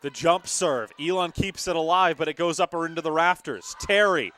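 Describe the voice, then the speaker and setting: High-pitched young male volleyball play-by-play announcer. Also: normal speed, sharp tone, a little hiss or hum. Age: young adult. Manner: emphatic, dramatic calls. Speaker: play-by-play sportscaster. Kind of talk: live volleyball commentary. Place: courtside in a gymnasium.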